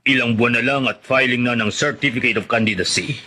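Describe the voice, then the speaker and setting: A man acting a commanding, scheming old grandfather with a husky voice and Filipino accent in a Tagalog radio drama. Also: medium pitch, a little fast, sharp tone.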